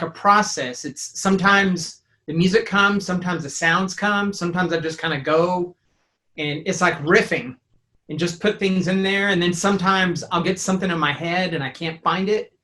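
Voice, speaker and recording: A man's voice: sits high, normal speed, bright, forceful tone. A man talking casually in an interview, rambling and thinking aloud with enthusiasm. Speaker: sound designer and composer; teacher.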